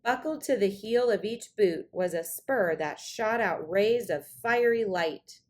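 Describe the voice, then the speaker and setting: A woman reading a children's story aloud in an expressive, dramatic storytelling manner. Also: mid-range voice, measured pace. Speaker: storybook reader.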